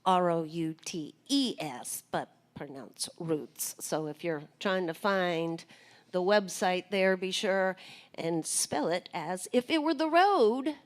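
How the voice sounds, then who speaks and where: A woman's voice: lowish voice, takes it slowly. A woman hosting a live radio interview. She talks in a hesitant way, searching for words with many ums. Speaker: radio host.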